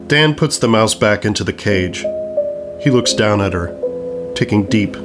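A male narrator reads scripted audio drama narration. His voice sits fairly low, and music plays clearly behind him. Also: animated, measured pace.

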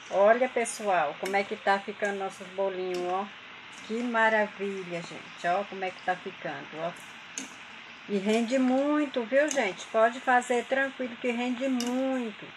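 A woman's voice: medium pitch, unhurried, noisy background. A woman with a Brazilian Portuguese accent hosting a home cooking tutorial in her kitchen, talking chattily to her viewers as she works. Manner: chatty, addresses viewers as gente. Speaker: cooking channel host.

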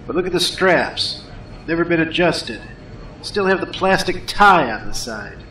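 Man playing a gruff, forceful police commander. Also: on the high side, expressive. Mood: brooding. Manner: terse, deliberate. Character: gruff, hard-nosed police commander, short-tempered and decisive. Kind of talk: action audio drama acting. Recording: echoey room, faint noise.

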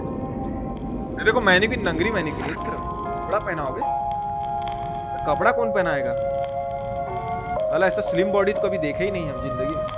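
A man streams a game live in a strikingly high voice with a Bihar and Purvanchal accent. He talks casually and playfully, repeating phrases and exclaiming in colloquial slang. Music plays clearly behind him. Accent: eastern Hindi belt (Bihar and Purvanchal). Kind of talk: casual live gaming commentary, thanking donors. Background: video game music.

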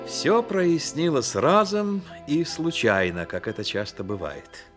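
A man's voice: on the high side, big pitch swings, takes it slowly, a bit bright, faint music behind. A man narrating a radio play as a literary storyteller, with dramatic delivery.

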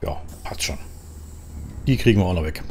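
A low-pitched man chats casually as he drives in a truck game. He sounds relaxed and thinks out loud, with clear vehicle noise behind his voice. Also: moderate pace. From Germany. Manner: chatty, relaxed, thinking aloud. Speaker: gaming video host playing a trucker. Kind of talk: casual role-play commentary while driving in a truck simulator. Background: truck simulator game sound.

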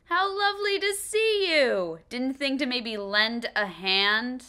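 A woman's voice: on the high side, lively intonation, slow pace, pushed and bright, exaggerated voice. A woman voicing a poised, sweetly sarcastic magical warrior girl in an anime parody, mock-polite with menace. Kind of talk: abridged anime parody voice acting.

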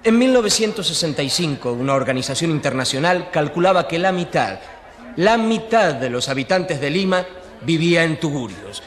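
A man's voice: fairly high pitch, expressive, normal speed, natural voice. A man acting a well-informed newspaper journalist who explains things in a conversational radio drama. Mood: informative. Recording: reverberant hall sound.

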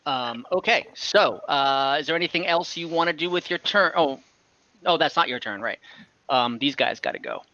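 Bright-voiced young man running the game. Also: fairly high pitch, animated, moderate pace, no room sound. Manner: casual, hesitant, many fillers. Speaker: game master. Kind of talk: running a tabletop game on a video call. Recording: dull lo-fi call audio.

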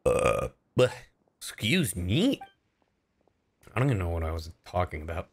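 A man's voice: low-pitched, moderate pace, vocal fry. A man chatting casually and swearing freely as he live-streams a game. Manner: animated, sweary. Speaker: streamer and voice actor. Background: video game music.

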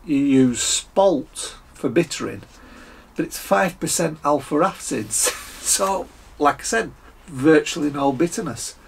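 A croaky Scottish man in his fifties, sounding husky, talks casually through a beer tasting at a desk, with plenty of fillers and the odd throat clearing. His pitch sits in the middle, and the sound is close to the camera in a small room at home. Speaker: beer reviewer.

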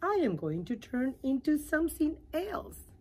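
Woman doing a breathy, high little caterpillar. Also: very animated, unhurried, a little mellow. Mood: eager. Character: eager young female caterpillar, bursting with a secret.

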